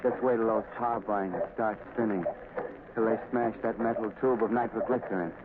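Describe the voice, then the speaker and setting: An actor playing a nervous, desperate saboteur in a 1930s radio drama, talking in a tense, blustering, fast way. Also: male voice, lowish voice, fairly even intonation, background noise, natural voice. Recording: tinny old radio recording.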